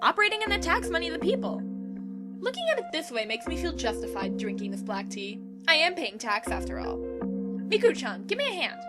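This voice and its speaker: A woman playing a bossy, confident, energetic teenage schoolgirl in an audio drama, brisk and self-assured. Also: sits high. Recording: loud music under the voice.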